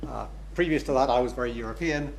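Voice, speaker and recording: A middle-aged British man opening his seminar talk with a gently self-deprecating tone and many "um" fillers. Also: medium pitch, quick pace, creaky, slight background noise, rough recording. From Britain. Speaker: conference speaker, research coordinator.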